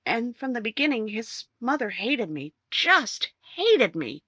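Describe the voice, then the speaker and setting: An actress playing a distraught young wife in a 1950s-style radio crime drama, emotional, halting and pleading. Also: female voice, fairly high pitch, sing-song, bright, forceful tone, breathy edge, dry recording, lo-fi sound, exaggerated voice. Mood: anguished recounting. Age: young adult.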